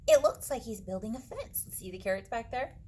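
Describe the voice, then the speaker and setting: A woman reading a picture book aloud to children, dramatically, with hushed suspenseful moments and asides to her young listeners. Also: a little high, lively intonation, brisk, breathy edge. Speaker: read-aloud storyteller for children.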